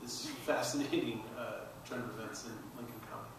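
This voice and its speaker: A man's voice: mid-range voice, steady tone, fairly quick, gravelly, noisy background. A middle-aged man explaining as a filmmaker on a panel, engaged and often restarting his phrases. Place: large auditorium stage. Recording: very echoey hall from far off.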